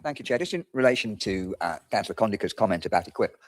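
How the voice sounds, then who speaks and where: Low-voiced man councillor answering briskly. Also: expressive, slight background noise. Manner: measured, reassuring. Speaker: councillor and portfolio holder. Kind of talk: formal reply in a council meeting.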